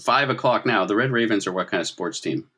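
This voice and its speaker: Male game master, low register, conversational narrator who keeps putting questions to his players. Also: fairly quick, bright, forceful tone, breathy edge. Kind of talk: narrating a tabletop role-playing game, conversational.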